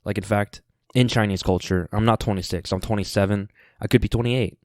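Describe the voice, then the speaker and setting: A man's voice: fairly low pitch, fairly even intonation, a little fast, a little mellow, dry recording, studio quality. A man in his twenties, hosting a podcast, talks casually in a rambling, laid-back way full of fillers and restarts. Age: 20s. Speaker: podcast host. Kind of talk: casual solo podcast monologue.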